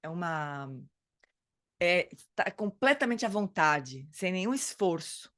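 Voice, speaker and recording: A woman's voice: fairly low pitch, animated, takes it slowly, a bit bright, no echo, studio quality. A woman leading a dharma study group, explaining in a casual, hesitant way and often stopping to search for words. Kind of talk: casual spoken teaching.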